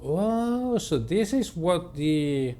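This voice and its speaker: A man hosting a game playthrough, reading the story aloud in a dramatic, deliberate way and adding his own commentary. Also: high voice, big pitch swings, warm tone. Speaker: gaming video host. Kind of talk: reading visual novel narration aloud with personal commentary.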